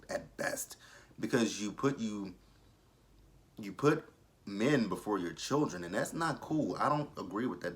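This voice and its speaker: A thirty-year-old man talks through a TV episode in a casual review vlog. His voice sits a little low, with a bright, forceful edge. He is blunt and opinionated and swears without hesitation. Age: 30s. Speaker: TV show reviewer.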